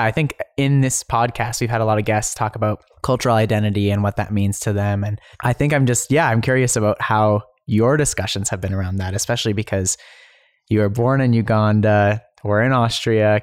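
Low-voiced man hosting, dry close sound. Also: clear, resonant tone, no room sound. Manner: attentive, frequent short responses, casual hedging. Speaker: podcast host. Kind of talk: casual conversational interviewing.

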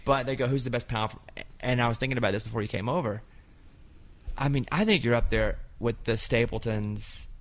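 A man's voice: medium pitch, a little fast. Chatty man hosting, rambling and joking. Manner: chatty, rambling questions with playful jokes. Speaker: host and comedian. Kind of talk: casual interview chat.

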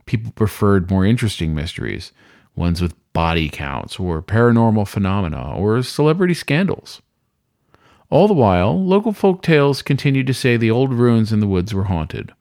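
A man's voice: on the low side, big pitch swings, normal speed, gravelly, a little mellow, dry recording, clean studio sound. A man narrating a scripted short story in a dramatic storytelling style.

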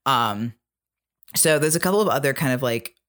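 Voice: medium pitch, lively intonation, moderate pace, a bit bright. A podcast co-host talking casually and analytically, explaining things point by point.

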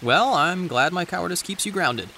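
A man's voice: sits high, expressive, normal speed, pushed and bright, slight background noise, exaggerated voice. A man playing a sardonic, self-deprecating teenage boy in a naturalistic audio drama, dry and a bit sarcastic. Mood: sullen.

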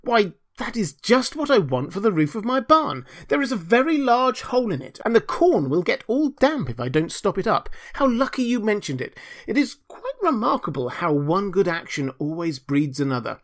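A pompous, self-righteous storybook miller, acted out by a man. The voice sits high and creaks at the edges, swinging broadly in melody. He draws out sanctimonious phrases, posing as generous while being greedy. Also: sing-song, moderate pace, hardly any pauses. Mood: grasping. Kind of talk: storybook character reading.

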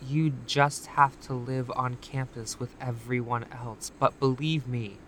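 Slow, condescending actress as a smooth-talking recruiter. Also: female voice, rumbling low, steady tone, slight background noise. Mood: coaxing. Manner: measured, condescending, deliberate. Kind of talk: audio drama acting.

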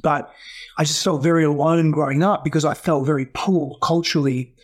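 A man's voice: fairly high pitch, steady tone, brisk. A man who grew up in Greece and Australia, native Greek speaker, talking earnestly in an interview, with frequent restarts and "you know.". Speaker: guest coach. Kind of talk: reflective interview talk.

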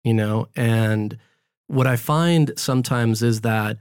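A man from the US who is a priest, hosting a relaxed interview and telling stories with animated, talkative energy. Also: medium pitch, slow, clear, resonant tone, dry recording, clean studio sound. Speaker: priest and podcast host. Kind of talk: casual interview chat.

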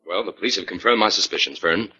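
A man's voice: sits low, quick pace, very noisy, close to an everyday voice. An actor playing a confident, analytical male scientist-detective, explaining with authority in 1940s radio style. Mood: assured. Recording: dull 1940s radio recording.

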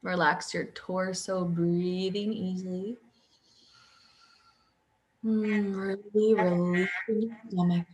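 A woman guiding a sleep hypnosis session as a hypnotherapist, calm, with drawn-out pauses and audible breaths. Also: on the low side, lo-fi sound, slight background noise. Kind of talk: guided sleep hypnosis, soothing relaxation script.

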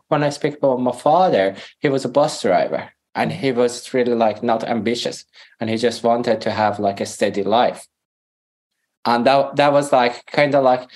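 A man with a Persian accent, a native Farsi speaker, talking as a podcast guest in earnest, halting English. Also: mid-range voice, a little mellow. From Iran. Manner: earnest, halting, searching for words. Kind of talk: casual interview talk.

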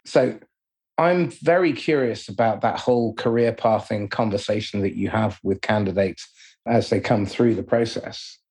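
Husky-voiced male interviewer. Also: fairly low pitch, moderate pace, darkish tone. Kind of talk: conversational interview, explaining and questioning.

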